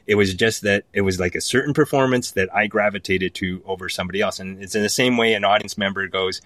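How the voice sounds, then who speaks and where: Low-voiced man talking in a dry, close recording. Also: fast talker, fairly bright tone, no room sound. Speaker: playwright, podcast guest. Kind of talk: casual panel discussion.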